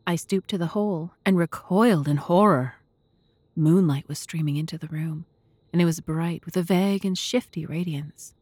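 A woman with a fairly low voice narrates a classic mystery tale. Her delivery is measured and literary, captured close and dry, without room reflections. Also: darkish tone, dry recording. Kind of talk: scripted first-person narration of a classic mystery audio drama.

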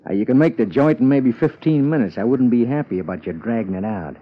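Male actor as shady 1950s radio-drama mug, American, curt and bossy, animated intonation, dull vintage sound. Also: mid-range voice, fast talker, a little hiss or hum, close to an everyday voice. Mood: brusque. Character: gruff, shady tough-guy mug hiring a detective. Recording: dull lo-fi old radio recording.

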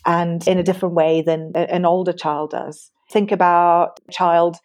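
A woman's voice: fairly low pitch, flat delivery, moderate pace, darkish tone. Tired woman researcher from Greece. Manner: thoughtful, many fillers and restarts. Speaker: guest researcher in education. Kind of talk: conversational interview.

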